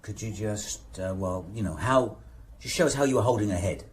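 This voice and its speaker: An actor playing a police inspector in a radio drama, questioning with false patience in a deliberate, repetitive, probing way. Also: male voice, lowish voice, expressive, a little hiss or hum, lightly acted. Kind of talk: naturalistic radio drama acting.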